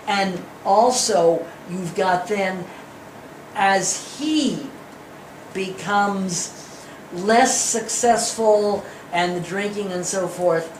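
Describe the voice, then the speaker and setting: A woman offering a deliberate spoken critique of a play in a group discussion. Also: medium pitch. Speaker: audience member giving feedback on a play. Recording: echoey room with faint noise.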